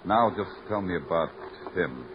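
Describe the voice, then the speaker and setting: An actor playing a dry, wisecracking police lieutenant who narrates a 1940s radio detective drama. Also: male voice, low-pitched, lively intonation, normal speed, noisy background. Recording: lo-fi old radio broadcast with music.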